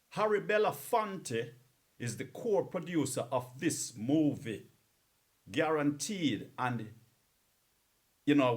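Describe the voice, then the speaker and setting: Earnest male DJ repeating words for emphasis. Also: a little high. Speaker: radio DJ. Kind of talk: casual talk between songs.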